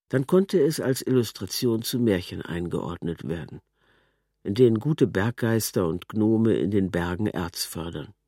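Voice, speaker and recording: Low, mellow male literary narrator. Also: no echo, studio quality.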